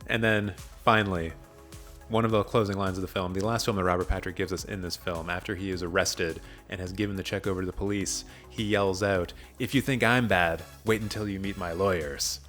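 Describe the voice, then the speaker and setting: A man's voice: deep voice, steady tone, fairly quick, fairly bright tone, soft background music. A man hosting a podcast, chatting casually about films in a jokey, self-deprecating way. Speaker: podcast host. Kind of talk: casual film discussion.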